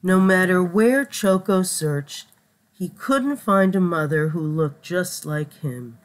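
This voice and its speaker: A woman's voice: on the low side, expressive, takes it slowly. A woman reading a children's picture book aloud as a storyteller. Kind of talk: slow scripted picture-book narration.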